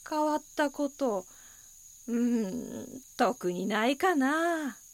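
A woman's voice: high-pitched, animated, slow pace, no room sound. Offhand Kansai local woman, dry sound. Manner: candid, offhand. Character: casual local woman, candid, mildly dismissive. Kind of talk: Japanese audio drama dialogue.

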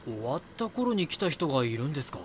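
An actor playing a young magazine reporter in an audio drama, polite, earnest and inquisitive. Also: male voice, a little high, sing-song, quick pace, a little hiss or hum. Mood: polite, probing. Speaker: magazine reporter and first-person narrator. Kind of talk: Japanese audio drama dialogue and narration.

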